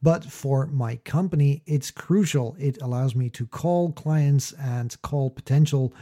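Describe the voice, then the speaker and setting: A man's voice: mid-range voice, unhurried, dark tone. A man hosting a casual explainer monologue about his own experience, conversational and emphatic with wry asides. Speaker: host, small business owner.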